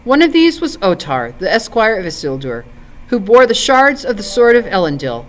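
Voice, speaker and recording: Woman, volunteer story reader, animated intonation, measured literary narration. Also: medium pitch, rough recording.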